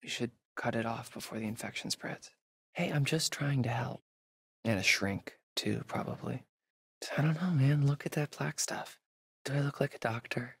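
A man's voice: medium pitch, normal speed, vocal fry, breathy edge, no echo, clean studio sound. A young man with an American accent acting a wisecracking, nervy camp counselor in a video game, with casual, hesitant delivery. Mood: alarmed.

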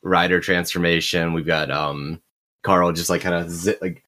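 Casting: deep-voiced male game master, easygoing and upbeat. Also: moderate pace. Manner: relaxed, enthusiastic, lots of fillers. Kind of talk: narrating comic panels in a tabletop game.

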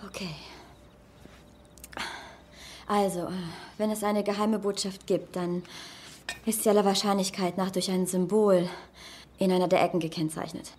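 An actress as a cautious, precise expert. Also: female voice, mid-range voice, little pitch movement, normal speed, a little mellow, slight background noise, close to an everyday voice. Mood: tense, precise. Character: careful, precise female document expert. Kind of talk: German film dub acting.

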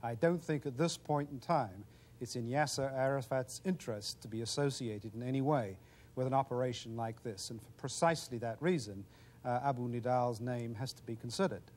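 A middle-aged man, a former national security official, answering in a crisp, confident and analytical way in a studio interview. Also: mid-range voice, brisk, a little mellow. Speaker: policy expert guest. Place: television studio.

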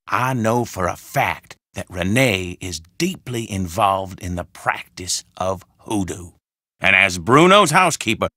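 An actor playing a pompous older doctor in a video game, speaking in a formal, deliberate, theatrical way. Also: male voice, fairly low pitch, pushed and bright, no room sound, studio quality, exaggerated voice. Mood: indignant, accusing. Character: pompous, gloomy old Southern doctor, the dead man's friend.

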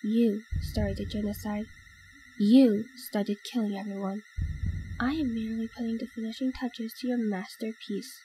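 A woman's voice: fairly high pitch, flat delivery, moderate pace, background noise, natural voice. A woman playing a cold, sadistic villainous child, taunting and mock-sweet, in a dramatic comic dub. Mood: cold accusing.

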